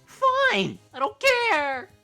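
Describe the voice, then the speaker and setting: A woman's voice: high voice, huge pitch swings, drawn-out words, gravelly, music in the background, exaggerated voice. A woman voicing a vain, indignant little ice monster who craves attention in a video game. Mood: huffy. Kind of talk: live game dub.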